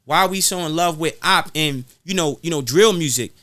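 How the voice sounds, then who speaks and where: A man's voice: fairly high pitch, fairly quick, bright, forceful tone, no echo. A man speaking in an impassioned, preachy podcast style, repeating phrases for emphasis. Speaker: guest rapper being interviewed.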